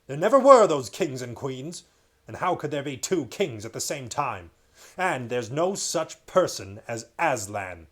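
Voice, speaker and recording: A man reading aloud and voicing a stern, menacing king who speaks loudly and threateningly. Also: lively intonation, moderate pace, lightly acted. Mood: furious. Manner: loud, booming, threatening. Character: stern, menacing middle-aged male king.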